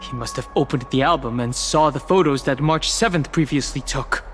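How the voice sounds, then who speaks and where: A man's voice: medium pitch, fairly quick, slight background noise, close to an everyday voice. An actor playing an earnest, concerned young male adventurer in a video game. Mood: worried.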